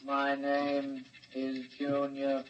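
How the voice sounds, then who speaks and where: A man playing a childlike, obedient robot in a 1950s radio drama, speaking in a stilted, literal monotone. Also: medium pitch, extremely slow. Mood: flat, obedient. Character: childlike robot, flat, obedient, coldly learning to kill. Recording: dull vintage 1950s radio recording.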